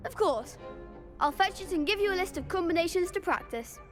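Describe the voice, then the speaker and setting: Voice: very high voice, animated, a little fast, a bit bright, faint music behind. A performer playing an eager, encouraging young schoolboy who runs a duelling club in a video game. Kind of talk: scripted video game dialogue.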